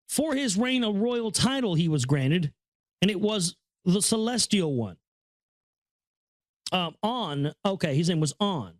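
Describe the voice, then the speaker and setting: A man's voice: on the high side, no echo, studio quality. A man giving a casual running commentary on a book he is reading aloud, rambling and stammering, repeating phrases with earnest awe. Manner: awed, earnest. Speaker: reader and commentator.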